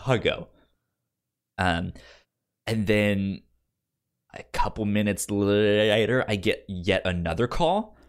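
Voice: sits low, slow, long pauses. A podcast co-host with a stutter, repeating sounds and words while telling an informal story in casual chat and acting out the dialogue.

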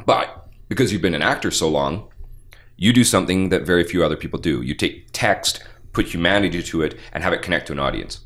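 A young man with a deep bass-baritone voice, telling stories animatedly as a guest in a casual podcast interview. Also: brisk. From Canada. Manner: animated storyteller, acts out quotes. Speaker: voice actor guest.

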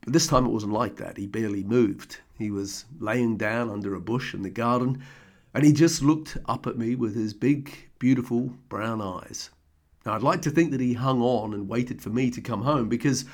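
Man, slightly croaky, mid-pitched, earnest, conversational podcast host. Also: darkish tone. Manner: earnest, conversational, heartfelt storytelling. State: slightly croaky voice from throat infection. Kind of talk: reflective personal monologue. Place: home desk.

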